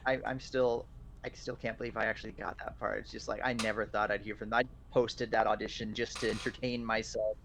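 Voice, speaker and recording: A man chatting casually as a podcast guest, friendly and quick to laugh. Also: on the low side, level tone, creaky, fairly bright tone, a little hiss or hum. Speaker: guest voice actor.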